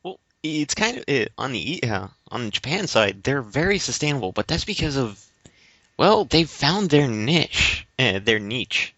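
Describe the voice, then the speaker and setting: Guy on a podcast arguing casually, keeps stumbling, bright forceful voice, dry slightly muffled audio. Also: medium pitch, big pitch swings, no echo. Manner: halting, stammering. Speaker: podcast co-host. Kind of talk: casual debate-style chat.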